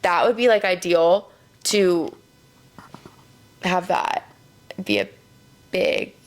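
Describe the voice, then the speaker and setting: A woman's voice: on the low side, very animated, slow. A woman in her twenties hosting a podcast, chatting casually and saying "like" a lot. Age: 20s. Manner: chatty, heavy use of "like". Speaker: podcast host. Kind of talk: casual chat.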